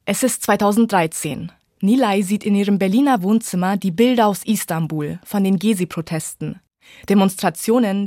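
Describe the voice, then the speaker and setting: A woman's voice: mid-range voice, a little mellow, no echo, studio quality. A woman reading a scripted radio feature as a reporter and reviewer.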